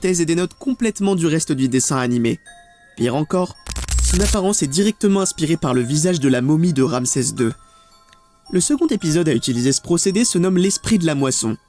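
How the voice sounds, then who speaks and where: A man's voice: fairly high pitch, animated, brisk, darkish tone. Man narrating a scripted video essay over music. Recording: music under the voice. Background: background music.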